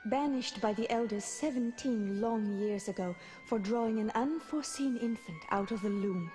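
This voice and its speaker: A woman voicing a swan who was once a noblewoman and is a loving, exiled mother in a video game, speaking in solemn, measured, formal phrases. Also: a little high, warm tone, faint music behind, close to an everyday voice. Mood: tender, wistful. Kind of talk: scripted video game voice acting.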